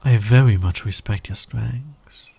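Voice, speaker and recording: Male actor as cocky fantasy villain, slow and gravelly, smug, teasing, flirtatious, intimate ASMR roleplay. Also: fairly low pitch, darkish tone, heavily acted. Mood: smug, confiding. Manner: smug, playful, drawling, teasing.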